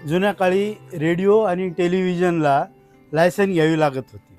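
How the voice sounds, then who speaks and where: A man's voice: sits high, fairly even intonation, moderate pace, a little mellow, soft background music. A man talks informally as a collector, explaining his items in short phrases. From India. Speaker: radio collector being interviewed.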